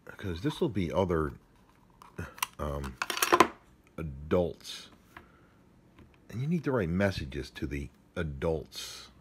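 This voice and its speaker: A man's voice: rumbling low, big pitch swings, takes it slowly, long pauses. A man chatting casually and off the cuff as he handles gadgets, muttering to himself in a playful, mildly crude way. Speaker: amateur product unboxing vlogger. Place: indoors at a table. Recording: noisy home phone-camera recording, device clicks.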